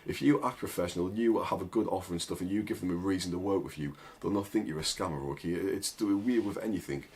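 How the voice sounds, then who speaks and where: A man's voice: low voice, fast talker, darkish tone, breathy edge. A young man with a thick northern English accent, giving rambling casual advice with lots of "like" and "right?". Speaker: agency owner vlogger. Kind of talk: casual advice talk to camera.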